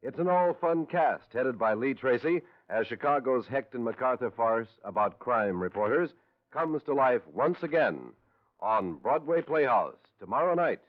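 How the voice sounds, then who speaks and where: A man's voice: mid-range voice, animated, normal speed. A man announcing in a scripted, polished, formal 1950s radio style with a promotional delivery. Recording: muffled old radio broadcast.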